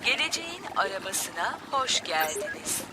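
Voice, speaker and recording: A woman playing a polite talking camper-van navigator in a children's cartoon, measured and instructive. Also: medium pitch, big pitch swings, noisy background, natural voice. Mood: calm guiding. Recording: loud music behind the voice.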